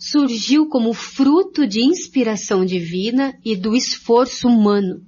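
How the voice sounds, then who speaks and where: A woman's voice: on the high side, a little slow, dark tone, breathy edge. An actress playing an earnest woman expositor in a scripted radio drama, laying out points one by one in a didactic way. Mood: solemn, explaining. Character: woman researcher Jacira, earnest, didactic study-group expositor. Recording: dull, lo-fi recording.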